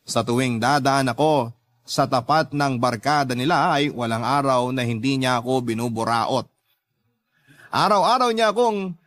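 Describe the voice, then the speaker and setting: A man with a medium-pitched voice works as a narrator. He reads out a letter in the scripted style of a radio drama storyteller. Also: a bit bright. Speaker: narrator reading a listener's letter.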